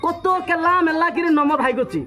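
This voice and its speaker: High-pitched man acting as scolding chicken seller, fast haranguing, repetitive, prank call, music behind. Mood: aggrieved. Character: pushy, scolding chicken seller demanding an unpaid debt. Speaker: prank caller. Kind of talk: prank phone call in colloquial Bengali.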